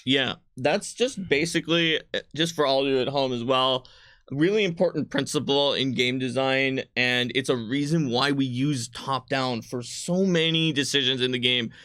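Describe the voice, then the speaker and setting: A man's voice: medium pitch, normal speed, a bit bright, no echo. A man co-hosting a casual livestream as a game designer, explaining things conversationally to viewers. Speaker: game designer and stream co-host.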